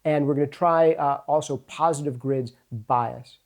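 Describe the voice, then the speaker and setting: Mellow man hosting casually. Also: on the high side, steady tone, unhurried. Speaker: interviewer and host. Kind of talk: casual gear-video presenting. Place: home studio.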